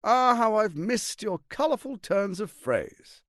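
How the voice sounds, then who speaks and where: An actor playing a suave, scheming tyrant archduke in a video game, speaking in a pompous, silky, menacing and theatrical manner. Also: male voice, high-pitched, very animated, unhurried, no echo, clean studio sound. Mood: silky, mocking. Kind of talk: video game character acting.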